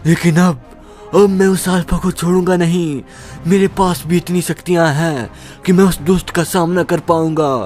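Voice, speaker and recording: A man's voice: sits high, fairly even intonation, moderate pace, a little mellow, faint music behind, lightly acted. A man playing an eighteen-year-old son, a naive but determined hero, speaking emphatically in a melodramatic story. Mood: vengeful. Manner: dramatic, emphatic.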